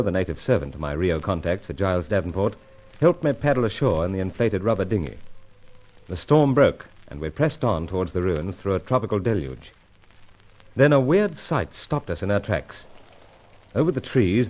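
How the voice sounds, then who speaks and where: Stiff-upper-lip British agent, lowish voice, clipped and understated, old radio adventure with muffled sound. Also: male voice. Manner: clipped, understated, old-fashioned gentlemanly speech. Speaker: lead character and narrator. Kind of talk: vintage adventure serial narration and dialogue. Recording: dull vintage radio sound.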